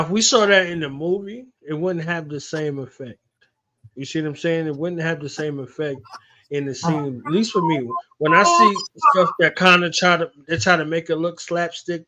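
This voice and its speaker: A man's voice: on the high side, normal speed, sharp tone, slight background noise. A man hosting a casual interview, talking enthusiastically and emphatically, often repeating his phrases. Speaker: radio show host. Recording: slightly dull lo-fi audio.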